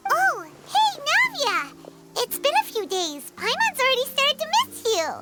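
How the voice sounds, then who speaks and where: A woman voicing a tiny, childlike fairy companion in a video game, chirpy, chatty and excitable. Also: extremely high pitch, a little slow, over loud music, heavily acted. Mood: cheerful. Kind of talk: cartoonish video game voice acting.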